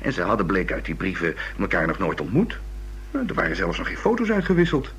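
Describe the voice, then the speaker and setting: A man's voice: low-pitched, wildly swooping pitch, fast, fairly bright tone, lo-fi sound, full character voice. An actor playing a hesitant, rambling young man who is a murder witness in a Dutch radio play. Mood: gossipy, reluctant. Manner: hesitant, rambling, restarts words. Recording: noisy old radio recording with hum.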